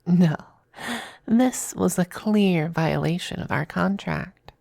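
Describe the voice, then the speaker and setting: A woman playing a possessive demon femboy in a close, intimate ASMR roleplay. The delivery is unhurried and coy, with a creaky edge and a very dry, close sound. The demon teases smugly yet affectionately, often slipping toward a breathy whisper. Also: medium pitch, darkish tone, no echo. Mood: mocking, possessive.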